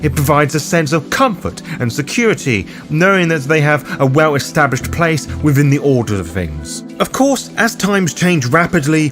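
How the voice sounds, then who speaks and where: A man reading a scripted lore guide for roleplayers, addressing the listener in formal, ornate phrasing. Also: a little high. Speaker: host and narrator of a roleplaying guide. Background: background music under the voice.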